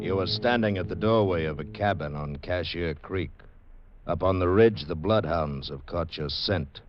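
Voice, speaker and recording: A man's voice: deep voice, normal speed, music in the background. A man announcing a 1940s radio drama in an ominous, portentous scripted style. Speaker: program announcer. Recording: muffled old radio broadcast. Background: musical score.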